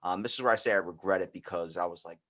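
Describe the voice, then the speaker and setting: A man's voice: low voice, very fast. A young American man chatting casually, rambling through anecdotes and acting out the quoted bits as he goes. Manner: rambling, animated retelling with acted-out quotes. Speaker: podcast host. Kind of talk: casual storytelling chat.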